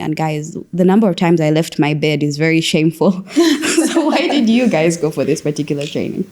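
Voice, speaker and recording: A woman's voice: low-pitched, warm tone. A young woman hosting a conversational panel podcast, wordy and rephrasing her questions as she goes. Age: young adult. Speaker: podcast host.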